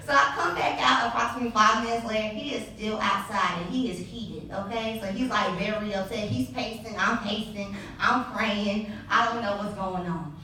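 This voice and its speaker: A young adult woman telling a personal story live on stage, animated and sassy with laughing asides. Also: medium pitch, normal speed, hardly any pauses, slight background noise. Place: live event venue. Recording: echoey hall, distant mic.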